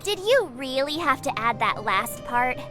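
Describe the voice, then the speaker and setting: A woman playing a perky, expressive teenage girl adventurer in a video game, with an informal, cute delivery. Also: high-pitched, measured pace, music in the background. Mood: skeptical, playful.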